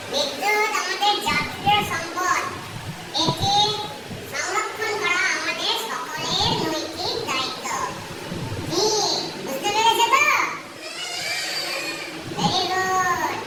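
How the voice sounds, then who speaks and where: A very high, childlike voice speaks slowly and instructively, like a teacher presenting a puppet show to children. The delivery is scripted and didactic. It is recorded from a distance with clear noise around it. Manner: didactic, instructing children and checking they understand. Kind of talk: scripted awareness message in a school puppet show.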